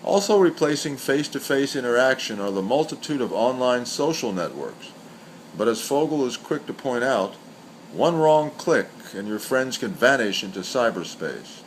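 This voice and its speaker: A man giving a scripted literary reading of short poems and commentary, reciting in a deliberate, measured way. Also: mid-range voice, rough recording. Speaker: poet reading aloud. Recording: steady background hiss or hum.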